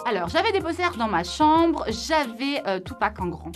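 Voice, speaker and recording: A woman's voice: a little high, very animated, normal speed. A woman of 31 from Morocco and southern France, chatting casually in a slangy, teasing way as an interviewee. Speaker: interviewee in a couple's question game. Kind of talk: casual chat. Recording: music bed behind the voice.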